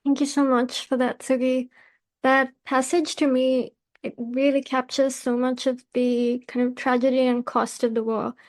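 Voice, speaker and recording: A woman hosting a literary podcast, asking prepared questions in a measured, earnest manner. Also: high-pitched, flat delivery, no room sound. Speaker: podcast host, interviewer.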